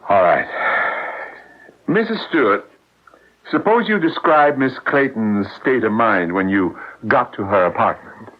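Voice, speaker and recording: An actor playing a patient, firm but sympathetic police lieutenant, measured and considerate, in an old-time radio drama. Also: male voice, on the low side, lively intonation. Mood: gently probing. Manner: measured questioning with hesitant, considerate pauses. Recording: dull, lo-fi radio drama recording.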